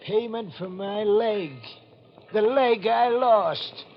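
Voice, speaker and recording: A man's voice: high voice, slow. An actor playing a vengeful, half-cracked old one-legged man with folksy rustic speech, in a 1940s radio mystery. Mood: bitter. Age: older. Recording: dull, noisy 1940s radio recording.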